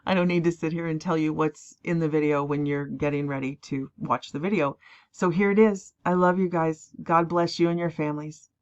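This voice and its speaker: A woman's voice: low-pitched, steady tone. Tired, rambling woman on a dull lo-fi recording. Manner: rambling, emphatic, mocks other views in quick asides. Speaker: online prophecy channel host.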